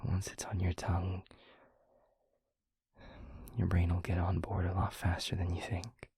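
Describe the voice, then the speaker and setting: A man playing a gentle, concerned vampire boyfriend in an intimate audio roleplay, speaking softly and haltingly with a breathy, husky voice. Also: sits low, monotone, no room sound, full character voice. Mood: gentle, reassuring. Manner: soft, hesitant, halting, reassuring.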